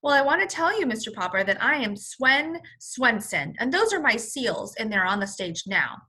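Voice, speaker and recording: A big burly showman from a children's storybook, acted out by a woman reader, bragging and threatening. The delivery is brash and menacing, with a bright, forceful edge. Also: a little high, big pitch swings, normal speed, slightly rough, heavily acted. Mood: boastful, threatening. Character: large burly male seal trainer, boastful, threatening bully. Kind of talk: children's storybook read-aloud.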